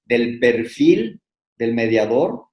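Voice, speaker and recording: Man, host, medium pitch, measured delivery, echoey room, video call. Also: big pitch swings, darkish tone. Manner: measured, listing points with pauses. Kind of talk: interview introduction.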